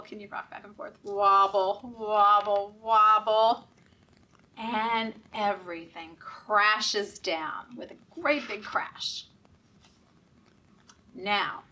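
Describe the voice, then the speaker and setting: Female children's storytime reader: very measured pace, animated, participatory delivery, slightly distant from the microphone. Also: medium pitch. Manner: animated, interactive, asking children questions and prompting actions. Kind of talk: expressive picture-book read-aloud to young children. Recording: voice at a distance from mic.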